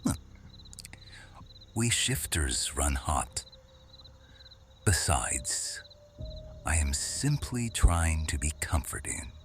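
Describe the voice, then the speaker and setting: A man playing a quiet, watchful serpent shifter guardian in an intimate ASMR roleplay, hushed and deliberate, often slipping into whispers. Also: very deep voice, sing-song, faint music behind, heavily acted. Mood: gently amused.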